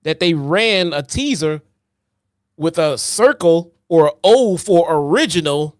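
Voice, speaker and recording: Dry, teasing podcast co-host, a man; a little high-pitched, no room sound. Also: animated, takes it slowly, dry recording. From Chicago. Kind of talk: casual chat.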